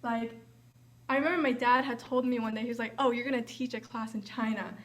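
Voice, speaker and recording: A woman's voice: on the high side, fairly even intonation, fairly quick, fairly bright tone. A young woman, a volunteer teacher, giving a casual, chatty talk, often saying "like" and "um". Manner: chatty, uses filler like and um. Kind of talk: casual presentation. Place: hotel event room.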